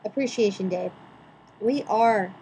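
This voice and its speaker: A woman's voice: mid-range voice, unhurried, warm tone, a little hiss or hum, low-quality recording. A woman co-hosting a podcast, running segments and reading a recap in a mock-dramatic, theatrical way. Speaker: podcast co-host.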